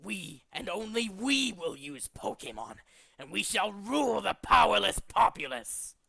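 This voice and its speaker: A man voicing a megalomaniac villainous cult leader from a video game, scheming, pompous and contemptuous, moving between hushed menace and ranting. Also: sits high, big pitch swings, unhurried, vocal fry, breathy edge, dry recording, heavily acted. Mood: gloating. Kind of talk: theatrical video game villain monologue, demo reel.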